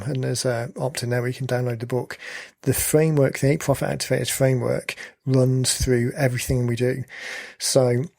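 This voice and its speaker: A man hosting a podcast, explaining things steadily in casual chat, his voice slightly croaky. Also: medium pitch, no echo, studio quality. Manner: explanatory, steady, leans on "kind of". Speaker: podcast host.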